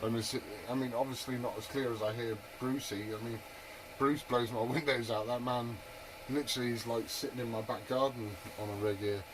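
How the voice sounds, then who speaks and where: Guy on cb radio, mid pitch, chatty and informal, asks loads, pretty noisy signal. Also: fairly even intonation, a little fast, hardly any pauses. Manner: chatty, informal, keen questioner. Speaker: CB radio operator. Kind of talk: casual radio chat. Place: over a radio link. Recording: noisy hissy CB radio transmission.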